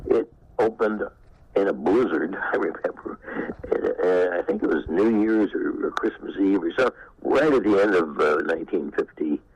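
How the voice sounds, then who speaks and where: An older man from Texas being interviewed, reminiscing in a halting, anecdotal way. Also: sits low, expressive, a little mellow, dry recording, dull audio. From Texas, United States. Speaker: interviewee, former actor. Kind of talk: unscripted reminiscence.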